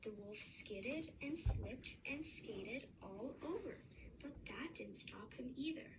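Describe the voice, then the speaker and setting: A woman's voice: fairly high pitch, animated, takes it slowly. Woman reading a fairy tale, noisy recording. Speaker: children's story reader. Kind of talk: read-aloud fairy tale narration.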